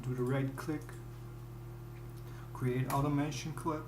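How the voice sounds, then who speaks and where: A man's voice: mid-range voice, fairly even intonation, background noise. German-accented man explaining beatmaking. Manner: halting, searching for words, explaining step by step. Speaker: music producer. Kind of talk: screen-recorded beatmaking tutorial. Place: dim small room at home. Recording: roomy with piano playing behind.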